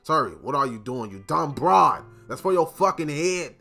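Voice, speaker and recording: Brash gaming host, slightly raspy morning voice, lively pitch, quick to curse and mock. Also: male voice, soft background music. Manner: brash, loud, cursing, mocking. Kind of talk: profane comedic let's-play commentary.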